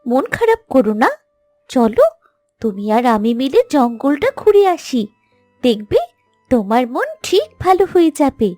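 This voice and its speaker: A performer voicing a small, loyal talking animal who coaxes a princess earnestly in an animated fairy tale. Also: high voice, unhurried, pauses often, no echo, faint music behind. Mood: consoling.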